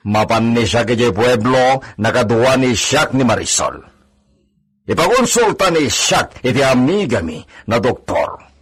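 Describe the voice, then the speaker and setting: A man's voice: mid-range voice, big pitch swings, a little slow, fairly bright tone, husky, full character voice. An actor playing a bossy, imperious hacienda master in a radio drama, commanding and peppering his speech with Spanish words. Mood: stern, commanding. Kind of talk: Ilocano radio drama acting.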